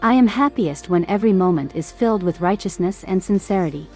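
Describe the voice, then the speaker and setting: A woman's voice: medium pitch, lively intonation, a little fast, darkish tone, soft background music. A woman earnestly reading her pageant answers. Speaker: pageant contestant.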